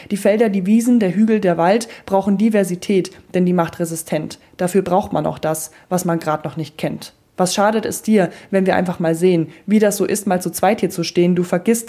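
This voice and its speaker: A woman's voice: fairly low pitch, dark tone, lightly acted. A woman voicing a cheeky mulberry tree. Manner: snappy, sarcastic.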